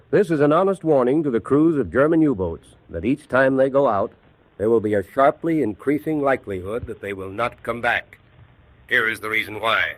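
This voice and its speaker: An actor playing a firm, confident Navy Secretary delivering a formal wartime address. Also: male voice, medium pitch, no echo, close to an everyday voice, low-quality recording. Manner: firm, declarative.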